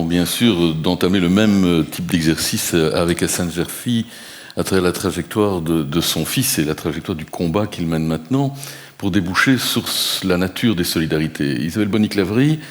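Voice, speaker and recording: A man with a very low voice leads a public panel discussion. He frames his questions formally and at length, pausing often to hesitate. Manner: measured, formal, many hesitations. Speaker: moderator.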